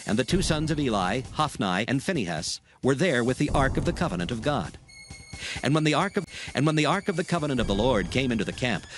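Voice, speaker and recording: A man reads scripture as a narrator, solemn and measured. His voice sits at a middling pitch, with music playing clearly behind it. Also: big pitch swings. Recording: background music under the narration.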